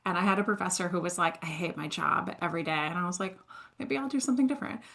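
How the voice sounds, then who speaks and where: A woman in her thirties, originally from Switzerland, chatting as a podcast guest in a slightly low voice. She tells her story in an animated, self-deprecating way, moving quickly between upbeat highs and quieter asides. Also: creaky. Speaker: guest, sales entrepreneur. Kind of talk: casual podcast interview.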